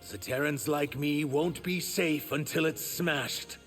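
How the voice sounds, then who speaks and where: An actor playing a proud, guarded reptilian shapeshifter warrior in human form for a fighting game. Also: male voice, fairly high pitch, takes it slowly, a bit bright, faint music behind. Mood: defiant. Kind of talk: video game fight-intro dialogue.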